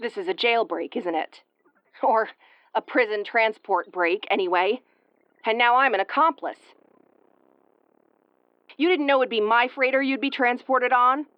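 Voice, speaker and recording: A woman's voice: fairly high pitch, a little slow, pauses often, clean tone, no room sound, dull audio, lightly acted. Woman acting a brusque tomboy starship commander, close and dry. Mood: indignant. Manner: brusque, stammering when flustered. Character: tough tomboyish tsundere woman starship commander, prickly but caring. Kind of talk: intimate audio roleplay acting.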